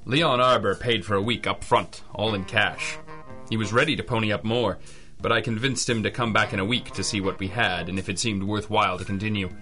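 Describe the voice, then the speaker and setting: A man's voice: lowish voice, steady tone, fairly quick, bright, forceful tone. A man playing a hard-boiled, wisecracking private eye in an old-school radio detective drama, sardonic and clipped. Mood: sardonic, businesslike. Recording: music under the voice.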